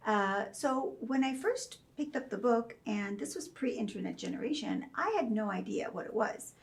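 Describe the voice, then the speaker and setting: Creaky-voiced thoughtful woman guest. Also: fairly high pitch, expressive. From Saudi Arabia. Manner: measured and thoughtful, with frequent soft fillers. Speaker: guest, professor of religion. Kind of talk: reflective interview storytelling.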